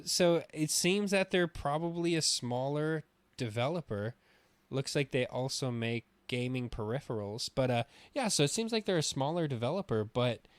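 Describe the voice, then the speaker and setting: A man's voice: fairly high pitch, no echo. A man co-hosting a gaming podcast, talking casually and with strong opinions, swearing now and then. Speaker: podcast co-host.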